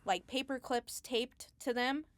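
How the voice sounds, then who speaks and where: A woman's voice: high-pitched, a little slow, no echo. Woman explaining her craft, dry close sound. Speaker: Foley artist, interview guest. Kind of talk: casual, explanatory interview talk.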